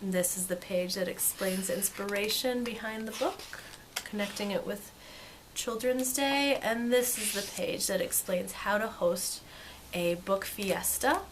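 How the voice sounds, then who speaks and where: A woman presents a children's picture book in a medium-pitched voice. She reads expressively and comments casually in a softer tone. Faint noise sits behind her voice. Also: unhurried.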